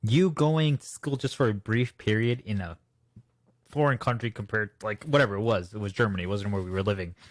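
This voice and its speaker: Low-ish guy asking curious questions, kinda jokey, dry close mic. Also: no echo. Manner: curious, questioning, lightly joking. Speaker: podcast co-host. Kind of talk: conversational podcast interviewing.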